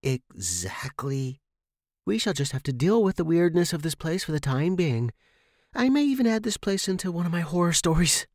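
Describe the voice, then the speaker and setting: A man voicing a chatty, nervous young woman in a story, with an excitable, squeaky manner. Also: normal speed, no echo, studio quality. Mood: amused.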